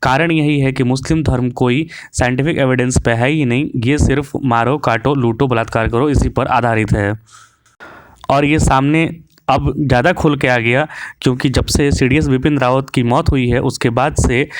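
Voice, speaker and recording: A man gives opinionated commentary in a clear, smooth voice. He sounds preachy and drops English words into his talk. The sound is dry, with very little room echo. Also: mid-range voice, fairly even intonation, normal speed. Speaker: video commentator.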